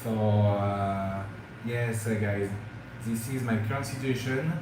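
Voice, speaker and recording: A man's voice: lowish voice, fairly even intonation, slow. A man with a French accent, a native French speaker, chatting casually with viewers on a travel vlog livestream, hesitant and full of fillers. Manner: hesitant, many fillers, addresses viewers as guys. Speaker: travel vlogger. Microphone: phone or camera mic, live stream. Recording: very echoey room with clear background noise.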